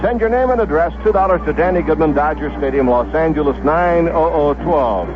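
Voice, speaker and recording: A man's voice: a little high, a little fast, phone-quality sound. A man working as a baseball radio announcer, reading promos and handoffs in a 1970s broadcast. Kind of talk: live sports broadcast patter.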